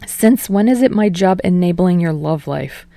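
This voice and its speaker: A woman's voice: fairly low pitch, lively intonation, moderate pace, creaky, mellow, no echo. A woman voicing an unflappable, wry talent manager, a man who speaks with pointed, exasperated emphasis in a story reading. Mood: dry, needling.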